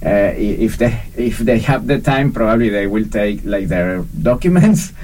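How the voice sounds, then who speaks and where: A man from Venezuela, a guest in an interview, who answers with many fillers, pauses and restarts. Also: fairly low pitch, animated, warm tone. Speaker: guest, documentary researcher and professor. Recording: slightly distant mic with faint background noise.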